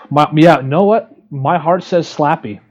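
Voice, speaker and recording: A man's voice: on the high side, takes it slowly, darkish tone, slightly rough, muffled recording. A man co-hosting a casual podcast chat, laid-back and hesitant as he weighs his pick. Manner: laid-back, uses "dude" and "like", hesitant when choosing. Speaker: podcast co-host.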